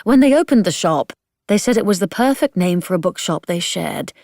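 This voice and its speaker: A woman's voice: lowish voice, expressive, fairly quick, darkish tone, no room sound, clean studio sound. A woman voicing a kindly, proud older woman who talks in a fond, genteel way.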